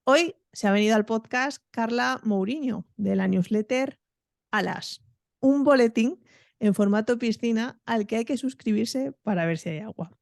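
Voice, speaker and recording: A woman's voice: fairly high pitch, gravelly, a little mellow, no room sound, studio quality. Upbeat Spanish woman hosting a podcast. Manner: friendly, upbeat, quick laughs. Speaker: podcast host.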